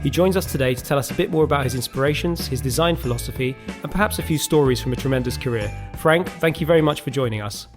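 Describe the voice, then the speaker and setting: Male podcast host, quick pace, mid-range voice, delivering prepared introductions and interview questions. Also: mid-range voice, over music.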